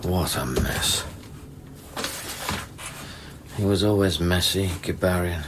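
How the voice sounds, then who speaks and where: Deep-voiced, earnest space scientist. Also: male voice, expressive, noisy background. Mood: troubled, reflective. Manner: brooding, deliberate narration that turns to urgent questioning. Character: earnest male scientist newly arrived on space station, recounting events. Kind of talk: radio drama acting with first-person narration. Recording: music behind the voice.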